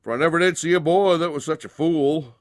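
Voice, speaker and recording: A man voicing a big, gruff, scornful country miller who scolds in a folksy way in a story. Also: fairly high pitch, brisk, voice pushed up, lightly acted. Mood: scolding.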